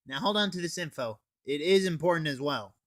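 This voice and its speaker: Teen guy doing a comic review, super lively pitch, casual and sweary, dry sound. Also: a little fast, dry recording. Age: teens. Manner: informal, sweary. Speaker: anime reviewer. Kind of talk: scripted comic review, recapping a plot.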